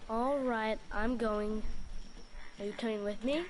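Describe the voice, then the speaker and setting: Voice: medium pitch, steady tone, measured pace, a little hiss or hum, natural voice. An actor voicing a sad, sleepy young boy, a son in a video game, subdued and pleading. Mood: reluctant.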